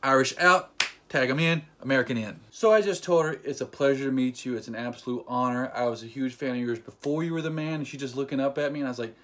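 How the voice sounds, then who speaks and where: A man's voice: mid-range voice, fast talker. A young man casually retelling a fan meet-up in a playful, joking vlog, acting out quotes as he goes. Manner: playful, jokey, animated retelling with acted quotes. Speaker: vlogger.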